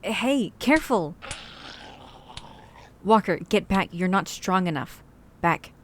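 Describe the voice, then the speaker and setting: A woman's voice: medium pitch, lively intonation, takes it slowly, slight background noise. A woman playing a chatty, cautious zombie-apocalypse survivor in an ASMR roleplay audio drama, talking to the listener in rambling, hesitant asides. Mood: urgent, alarmed.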